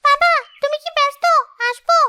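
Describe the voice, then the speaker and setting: A woman's voice: very high voice, flat delivery, normal speed, heavy background noise. A woman voicing an earnest young schoolgirl in a cartoon story, with an emotive, scripted delivery. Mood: earnest, pleading. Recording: music behind the voice.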